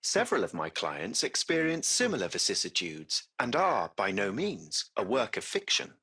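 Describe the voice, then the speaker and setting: A crisp-edged, forceful yet impeccably courteous machine chauffeur from a video game. The delivery is formal and precise with a lively, varied melody, and the voice carries a gravelly creak. The character sounds curious and butler-like, choosing words with care. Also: male voice, on the low side, moderate pace, heavily acted. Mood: thoughtful, measured. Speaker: AI driver of a cab service.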